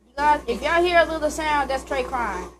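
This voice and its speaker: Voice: high voice, animated, brisk. A young adult, giving slangy, playful, casual commentary while playing a video game. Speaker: gaming video host.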